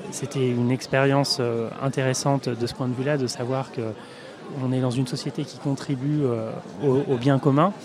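A man with a mid-range voice answers questions at a trade fair. He speaks in careful, measured phrases and often hesitates, repeating words as he searches for the right one. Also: medium pitch, level tone, a crowd in the background. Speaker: in-house lawyer, guest. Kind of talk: event interview answers.

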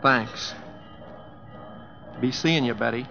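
An actor playing a plainspoken young cowboy with a folksy Western American drawl, in 1950s radio drama style. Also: male voice, medium pitch, takes it slowly, vocal fry, over loud music. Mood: restless, resigned. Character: plainspoken easygoing young cowboy, stubbornly his own man. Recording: dull old radio broadcast.

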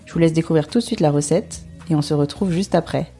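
A woman from France hosting a podcast, reading her scripted introduction in a measured way. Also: deep voice, warm tone, faint music behind. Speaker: podcast host.

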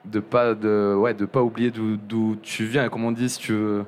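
A young man speaking casually as an interview guest, halting and restarting often, with many fillers. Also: lowish voice, level tone, darkish tone. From Montpellier area. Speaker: journalist guest.

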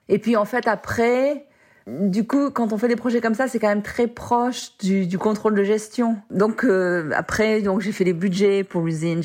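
A woman's voice: medium pitch, darkish tone. A woman in her fifties, an executive guest, telling her career story in a casual interview, chatty and dropping English words in. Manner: chatty, sprinkles English words and lots of "en fait".

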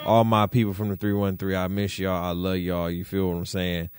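A podcast host from Detroit doing solo commentary, roasting people in a slangy, playful way with lots of repetition. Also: male voice, sits low, level tone, fairly quick, clear, resonant tone, dry recording, clean studio sound.

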